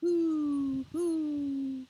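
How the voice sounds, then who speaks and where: Woman voicing a high-pitched, hooting storybook owl. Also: fairly even intonation, warm tone. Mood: playful, hooting. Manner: singsong, hooting, drawn-out calls. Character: friendly hooting storybook owl calling goodnight to animals.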